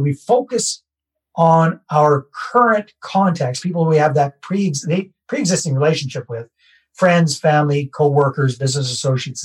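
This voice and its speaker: Canadian guy on a podcast, kinda husky, slightly high voice, walks you through it step by step. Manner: conversational, self-deprecating, repeats key phrases. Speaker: real estate investor and guest. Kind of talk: podcast interview, explaining a process.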